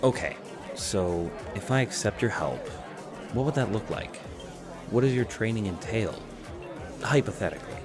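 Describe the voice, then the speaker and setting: A man reads as a dejected young male trainer in a fan fiction story. The voice is low and sullen, insecure and self-deprecating, with clear music underneath. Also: lively intonation, normal speed, pauses often, crowd noise, lightly acted. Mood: guarded. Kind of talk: fan fiction character reading.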